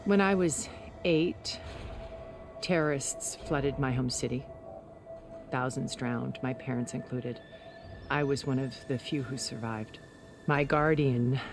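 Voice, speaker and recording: An actress playing a composed, secretive, cultured woman in a video game, speaking in a measured, articulate, deliberate way. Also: a bass voice. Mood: somber, reflective. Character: composed, secretive, cultured older woman, former intelligence broker. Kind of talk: video game cutscene dramatic acting.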